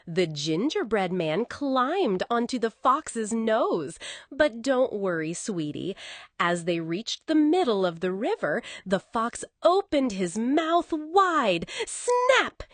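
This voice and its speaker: A woman narrates a children's bedtime story in a coddling, expressive manner. Her pace is slow and her pitch rises and dips dramatically. The sound is dry and close. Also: no echo.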